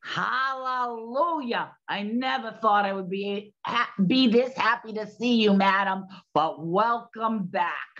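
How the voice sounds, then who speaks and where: A woman's voice: mid-range voice, takes it slowly, few pauses, a bit bright. A woman playing a matter-of-fact, blunt character of the 1920s in a radio play. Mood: relieved. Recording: dull, lo-fi sound.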